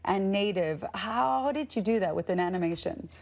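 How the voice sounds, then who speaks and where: A woman's voice: medium pitch, lively intonation, normal speed, vocal fry, darkish tone. A woman with an American accent hosting a morning TV interview in an upbeat, encouraging way. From Texas, United States. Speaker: TV host interviewer.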